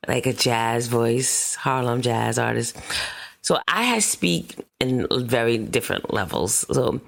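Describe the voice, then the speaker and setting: Woman co-host, very low gravelly voice, playful chat. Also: lively intonation, takes it slowly. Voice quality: deep voice. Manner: playful, laughs easily. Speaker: podcast co-host. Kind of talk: casual chat.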